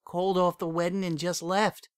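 A man voicing a heartbroken young female pony who speaks in a cold, flat tone that breaks into sobbing, in a dry recording. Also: high-pitched, normal speed, clear, resonant tone, dry recording, voice pushed up, close to an everyday voice. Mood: flat, bitter.

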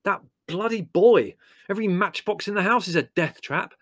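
A man's voice: high-pitched, wildly swooping pitch, moderate pace, darkish tone, no room sound, clean studio sound, pitched above the performer's own voice, exaggerated voice. A man voicing an exasperated, domineering young man in blustering, roaring outbursts for a storybook. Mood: outraged.

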